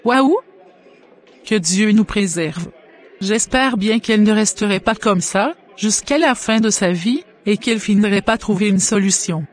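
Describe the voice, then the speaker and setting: Man putting on a high-pitched pious cartoon mother. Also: measured pace, faint music behind. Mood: concerned. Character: devout, thankful mother of a grown son. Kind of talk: scripted cartoon dialogue.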